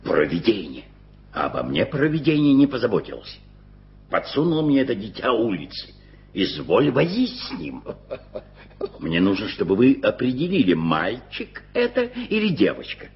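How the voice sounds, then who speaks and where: A man's voice: medium pitch, wildly swooping pitch, normal speed, vocal fry, husky, exaggerated voice. An actor playing a fussy, flustered middle-aged male editor who hesitates and mumbles, in a vintage radio play. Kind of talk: 1980s radio drama acting. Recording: dull-sounding vintage radio recording with music.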